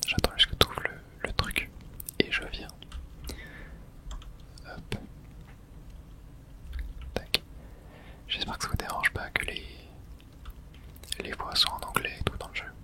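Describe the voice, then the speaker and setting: A woman's voice: slow pace, pauses often, rough recording. A woman whispering casual, slangy ASMR commentary as she plays a horror video game.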